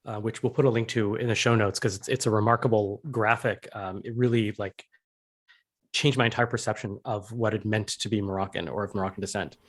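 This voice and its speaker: A man's voice: fairly low pitch, steady tone, fairly quick. A man hosting a podcast in a conversational way, explaining things and introducing people. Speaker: podcast host.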